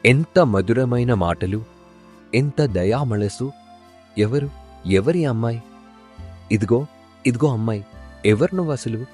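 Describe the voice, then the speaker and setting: A man's voice: medium pitch, expressive, slow pace, pauses often, darkish tone. A man plays a young suitor from a cartoon moral tale. He is smitten, admiring and persistent. His mid-range voice has music playing beneath it. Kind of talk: cartoon moral story dialogue.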